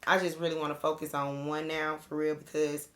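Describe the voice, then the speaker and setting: A woman in her thirties co-hosting a podcast, rambling in relaxed casual chat and laughing easily. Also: deep voice, brisk, a little hiss or hum. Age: 30s. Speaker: podcast co-host.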